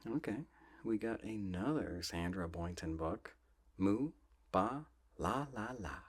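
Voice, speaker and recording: A soft-spoken man reading children's books aloud in a gentle, playful ASMR style, often dropping close to a whisper. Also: on the low side, lively intonation, husky. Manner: gentle, drawn-out, playful storybook delivery.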